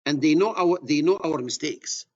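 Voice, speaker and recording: A man's voice: a little high, normal speed, highs cut off. A middle-aged man with an Egyptian accent teaching a surveying class, repeating key words and restarting phrases as he explains. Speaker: surveying instructor. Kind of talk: classroom lecture.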